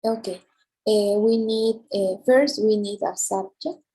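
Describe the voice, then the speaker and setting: A woman's voice: mid-range voice, fairly even intonation, unhurried, warm tone, poor audio. A woman student answering her teacher hesitantly in English, with many fillers.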